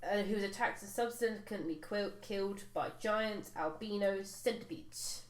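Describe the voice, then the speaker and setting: A woman casually recapping a tabletop role-playing campaign for the group, pausing often with fillers and restarts. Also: medium pitch. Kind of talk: casual chat around a tabletop game. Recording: echoey room, faint noise.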